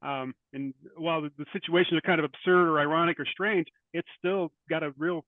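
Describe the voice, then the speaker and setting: Male interview guest, writer. Slightly high voice, close dry sound, thoughtful stop-and-start delivery. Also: steady tone, dry recording, narrow, tinny audio. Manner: thoughtful, halting, repeats words. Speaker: guest, short fiction writer. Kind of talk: interview conversation.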